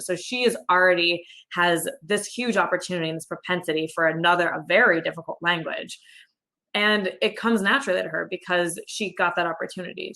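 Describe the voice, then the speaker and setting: Young woman, bright chatty voice. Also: fairly low pitch, poor audio. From United States (Michigan). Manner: chatty, many fillers and "like". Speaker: Navy officer panelist. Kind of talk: casual panel talk. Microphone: video call.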